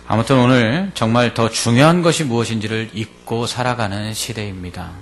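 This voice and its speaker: Male pastor preaching deliberately. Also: mid-range voice, a little hiss or hum. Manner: deliberate, explanatory, emphasizing key words and posing rhetorical questions. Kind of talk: expository sermon.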